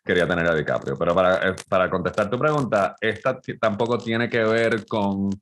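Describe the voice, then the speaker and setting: A man's voice: fairly low pitch, fairly quick, fairly bright tone. A middle-aged man co-hosting a film critic podcast, talking casually and rambling with many fillers and restarts over a headset mic.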